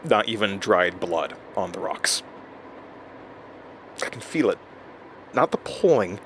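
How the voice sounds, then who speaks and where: An actor in an audio drama playing a rattled, self-doubting man who records a halting, stammering journal, talking to himself. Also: lowish voice. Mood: haunted, confiding. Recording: steady noise, like inside a moving car.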